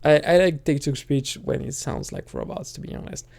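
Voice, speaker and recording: A man talking casually on his own channel in a hesitant, halting way, thinking out loud as he goes. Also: a little high, sing-song, vocal fry. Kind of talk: casual rambling solo talk.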